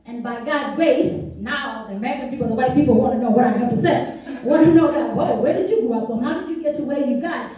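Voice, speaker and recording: A woman's voice: high-pitched, fast talker, dark tone, very echoey, distant mic. A young woman from South Sudan telling her personal story to a meeting, earnest and chatty with many asides. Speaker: speaker. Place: meeting room.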